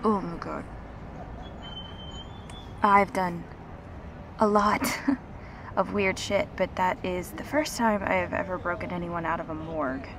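A woman playing a gentle, reassuring revenant in an intimate audio roleplay, speaking earnestly and haltingly. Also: lowish voice, background noise, natural voice. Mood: relieved, friendly. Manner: halting, earnest, soft-spoken pauses.

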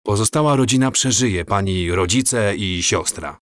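A man playing an earnest time traveler in an audio drama, speaking gravely and in a measured, explanatory way. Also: on the low side, a bit bright, dry recording. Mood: grave, urgent. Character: earnest married time traveler warning of coming catastrophe.

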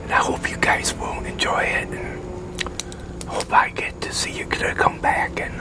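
A man, whispering an ASMR roleplay in a breathy, halting, informal way. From United States. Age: 60s. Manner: slow, halting, informal delivery. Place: open-sided concrete park picnic pavilion.

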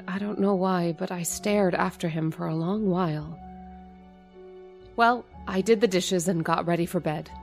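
A woman's voice: fairly low pitch, lightly acted. A woman playing a timid middle-aged landlady who also narrates, with a hesitant, stammering manner. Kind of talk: old-time radio drama acting and narration.

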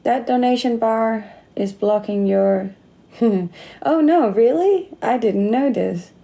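A woman's voice: on the high side, takes it slowly, vocal fry, warm tone. A Danish woman in her late twenties with a slight Australian accent, chatting casually on a livestream from her phone and laughing often. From Denmark. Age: late 20s. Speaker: livestream host. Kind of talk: casual livestream chat reading comments. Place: indoor room. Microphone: phone close to face.

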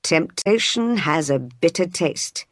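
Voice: medium pitch, animated, no echo, studio quality. A narrator doing a grave, devout young vicar. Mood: torn. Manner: slow, grave, restrained. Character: stern, devout young vicar, passionately self-denying.

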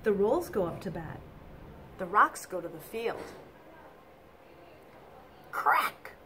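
A middle-aged woman reading a picture book aloud to children, expressive and deliberate, with emphatic exclamations, in a small room. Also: mid-range voice, slight background noise. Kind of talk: animated read-aloud storytelling for children. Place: small indoor office or classroom.

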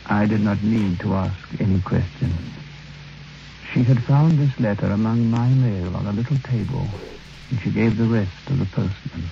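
Grave troubled guy telling his story, old radio play, creaky voice, muffled hissy recording. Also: on the low side, dark tone. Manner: literary, measured, grave. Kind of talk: old radio drama first-person narration.

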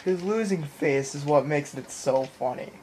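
Slow-paced guy commentating his gameplay. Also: a little high, slight background noise. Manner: excitable, repeats phrases, mimics game sounds. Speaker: video game player and commentator. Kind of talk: casual let's play commentary. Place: indoor room at home, in front of a TV. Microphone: handheld camera or phone mic. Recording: handheld camera, game music in background.

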